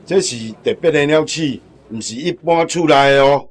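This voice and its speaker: An actor playing a hearty, proud restaurant owner in a radio drama, explaining in a folksy way with a Taiwanese accent. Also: male voice, a little high, moderate pace, lightly acted. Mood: proud, cheerful. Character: hearty restaurant owner showing off his ingredient.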